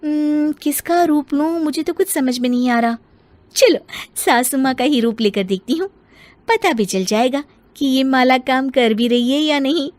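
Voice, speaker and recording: Woman acting a high-voiced, expressive young daughter-in-law. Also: moderate pace, no room sound, close to an everyday voice. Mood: musing. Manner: expressive, musing aloud. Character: sly young daughter-in-law, sweet-spoken, mischievous.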